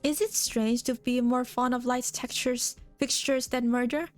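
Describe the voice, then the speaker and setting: A woman voicing a warm, rambling older man who keeps a hotel, with faint music behind. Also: on the high side, steady tone, measured pace, close to an everyday voice. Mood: fond. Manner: rambling, fond, nostalgic. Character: warm, chatty older male hotel keeper.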